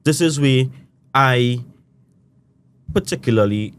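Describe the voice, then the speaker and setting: A young Trinidadian man with a Trinidadian accent, talking about music in a casual, meandering way with lots of "you know". His voice sits in a middle pitch. Faint noise sits underneath him. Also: takes it slowly. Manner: rambling, loose island phrasing, frequent "you know". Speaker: guest musician. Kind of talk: casual interview chat.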